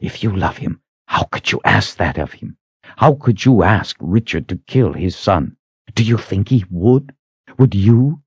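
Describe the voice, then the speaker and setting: A man's voice: deep voice, animated, moderate pace, warm tone, dry recording, highs cut off. A man voicing a furious, commanding witch woman in an audiobook, full of accusing menace. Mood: cold menace. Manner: hissing threats, accusing rhetorical questions.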